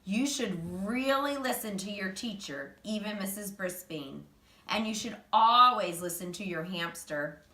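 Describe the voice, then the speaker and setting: A woman reading a children's story aloud in an animated, expressive storytelling manner. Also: mid-range voice, a little slow. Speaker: reader, teacher. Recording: roomy, distant mic, faint noise.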